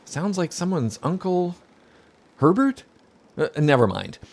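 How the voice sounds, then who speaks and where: An actor playing a pompous, articulate, pedantic narrator in a scripted audio comedy. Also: male voice, on the high side, big pitch swings, unhurried, creaky, exaggerated voice. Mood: puzzled, self-important. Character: fussy, pompous male professional narrator held captive.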